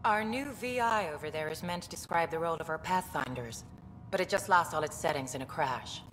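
A woman's voice: low-pitched, brisk, fairly bright tone, big-room sound, slight background noise. A woman voicing a polite, welcoming alien woman who runs a cultural center in a video game.

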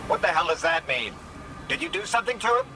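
An actor playing an intense, blunt guide in a video game, with an urgent, challenging way of talking. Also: male voice, high-pitched, huge pitch swings, fast talker, vocal fry, very noisy, full character voice. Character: male guide tracking his commander, intense, defiant, blunt.

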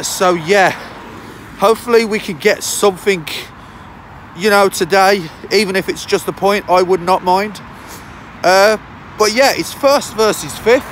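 Croaky high-voiced vlogger man. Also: fairly bright tone, slight background noise. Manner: chatty, rambling with many fillers. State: hoarse, croaky from a sore throat. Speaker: football fan vlogger. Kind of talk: casual match-day vlog to camera. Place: outdoors on a city street on a cold day. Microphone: phone held at arm's length.

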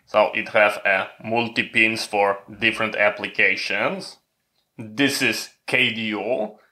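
A man's voice: on the low side, pushed and bright. A man who is a non-native English speaker, presenting a hands-on equipment tutorial in a methodical, step-by-step way. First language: not English.

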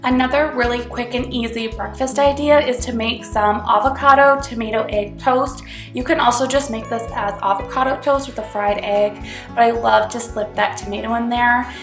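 A young woman with a slightly high voice, a bit congested from a cold, talks through a recipe in a chatty, upbeat way. She is recorded in a roomy home kitchen, with light music playing underneath. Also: fairly even intonation, moderate pace. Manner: chatty and upbeat, explaining step by step. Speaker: cooking channel host. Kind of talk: casual cooking tutorial voice-over.

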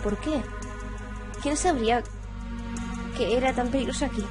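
A young woman with a high voice reads game dialogue aloud as the host of a let's play. Game music plays quietly behind her. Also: moderate pace. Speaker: gaming video host. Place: indoor room at home.

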